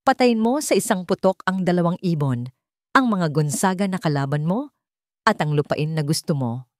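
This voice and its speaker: A woman's voice: lowish voice, lively intonation, normal speed, dry recording, studio quality, natural voice. A woman voicing a hurt, bitter bride-to-be in a story, accusing and sarcastic.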